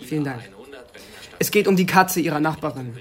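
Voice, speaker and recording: An actor playing a young, politely persistent police officer in a radio drama, matter-of-fact and probing. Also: male voice, a little high, fairly quick, noisy background, natural voice. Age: young adult. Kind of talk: naturalistic radio drama dialogue.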